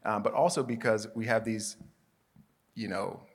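A man's voice: fairly low pitch, normal speed. A man speaking as a panelist about business, starting hesitantly and then explaining steadily. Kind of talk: live panel discussion.

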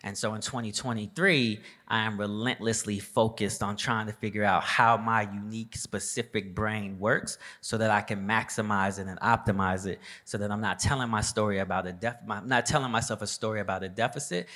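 Casting: low-pitched male business leader in his thirties, reflective, building to emphatic points. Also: fairly even intonation, brisk, hardly any pauses, bright, forceful tone. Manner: reflective, preacher-like emphasis, conversational. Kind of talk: live panel discussion.

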